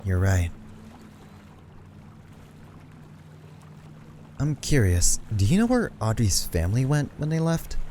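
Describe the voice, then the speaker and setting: A man acting a protective, suspicious character in an amateur Minecraft roleplay, speaking in a measured, chiding way. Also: mid-range voice, very animated, warm tone, slight background noise, lightly acted. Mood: pensive.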